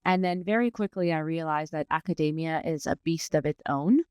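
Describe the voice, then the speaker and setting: A woman's voice: deep voice, a little fast, a little mellow, clean tone, no room sound, studio quality. A woman from Iran who partly grew up in Dubai, answering conversationally as an interview guest about her professional work. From born in Iran, raised partly in Dubai. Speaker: interview guest, learning and development manager.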